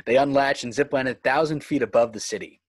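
A man's voice: mid-range voice, quick pace, no echo. A man reading scripted screenplay narration, describing scenes and action. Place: indoors on a home video call.

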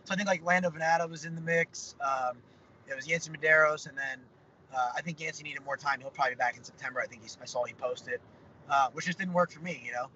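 A man's voice: fairly high pitch, quick pace, pushed and bright. A young man in a parked car, speaking on a video call as a fighter being interviewed, upbeat and rambling with many fillers. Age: young adult. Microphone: phone camera on a video call. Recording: slightly dull video-call audio with faint car hum.

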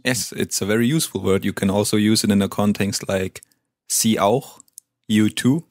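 A native German speaker teaching a language lesson, patiently modelling pronunciation. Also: male voice, fairly low pitch, steady tone, normal speed, no echo, clean studio sound.

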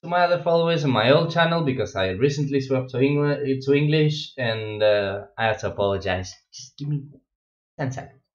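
A man's voice: mid-range voice, animated, a little fast. A young Russian-born man with a Russian accent, chatting casually as a streamer in his gaming room, rambling with many fillers and restarts. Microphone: wireless headset. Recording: echoey, dull lo-fi home mic.

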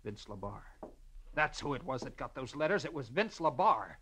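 An agitated, suspicious lover in an old radio crime play. The voice is bright and swings widely, on a slightly noisy old recording. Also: male voice, mid-range voice, sing-song. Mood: uneasy. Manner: agitated, halting. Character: anxious, suspicious male lover of a client. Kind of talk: 1940s-50s radio drama acting. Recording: old radio recording, some noise, clicks.

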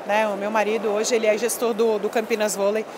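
A woman's voice: mid-range voice, little pitch movement, brisk, background noise. A woman in her thirties, a former volleyball player, chatting emphatically in an interview on a handheld mic at a busy expo hall, dropping lots of little fillers. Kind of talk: casual interview. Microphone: handheld mic held by interviewer.

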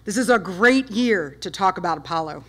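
A woman in her fifties with a loud, eager delivery, pitched in an ordinary middle range. She drives her talk with punchy rhetorical questions. She speaks to an audience in a dark lecture hall, picked up by a far camera. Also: expressive, moderate pace, husky. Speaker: guest speaker, space history presenter. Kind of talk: public talk with slides.

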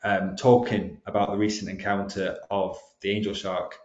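A man's voice: sits low, level tone, moderate pace, a little mellow. A young Welsh man with a Welsh accent, giving a slide presentation, hesitant with frequent ums and restarts. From Wales. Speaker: guest speaker. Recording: dull, roomy online call audio.

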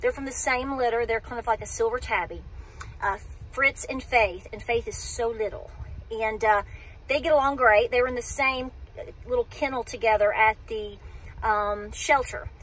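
A woman talks straight into her phone from a parked car, her voice high and bright. She rambles in a friendly, chatty way, looping back and restarting her thoughts. Faint background noise hums along under her voice. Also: normal speed, low-quality recording. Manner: chatty, rambling, self-interrupting. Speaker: homestead vlogger. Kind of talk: casual talk to camera. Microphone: phone camera at arm's length.

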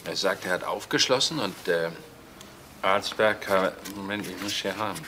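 An actor playing a businesslike police investigator in a radio crime play, factual in questioning and reporting. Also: male voice, deep voice, normal speed, noisy background. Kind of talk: German radio crime drama acting.

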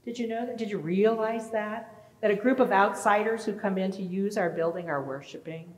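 A woman's voice: medium pitch, moderate pace. Woman pastor preaching in an echoey church. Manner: animated, gesturing, asks the congregation questions. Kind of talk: sermon. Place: large church sanctuary. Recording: echoey church with long reverb.

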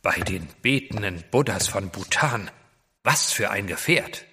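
An actor playing a courteous gentleman adventurer in an audio drama, speaking politely and formally. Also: lowish voice, lively intonation, measured pace, husky, big-room sound, exaggerated voice. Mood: impressed. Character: courteous gentleman adventurer, devoted husband, keen observer. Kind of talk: German audio drama acting.

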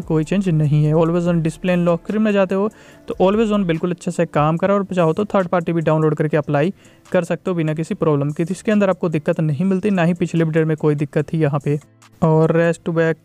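A young man giving an informal, explanatory voice-over for a phone software review, often repeating his points. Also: on the high side, smooth. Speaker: tech reviewer.

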